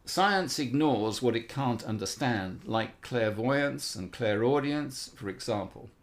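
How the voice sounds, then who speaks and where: A man's voice: medium pitch, lively intonation, slow. A man reading the part of an opinionated, eccentric aunt from a storybook, lecturing emphatically. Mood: earnest, opinionated.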